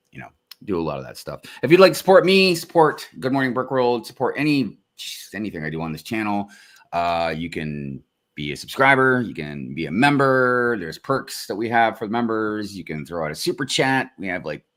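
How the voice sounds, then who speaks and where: A man hosts a casual livestream with a deep, very low voice. He jokes easily and talks in a laid-back way, his pitch swinging widely up and down as he chats and thanks the viewers. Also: a bit bright. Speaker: show host.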